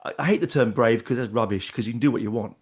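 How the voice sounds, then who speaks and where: A man's voice: medium pitch, fast, slightly rough. A man interviewing a musician, chatty and enthusiastic about the album. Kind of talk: casual interview chat.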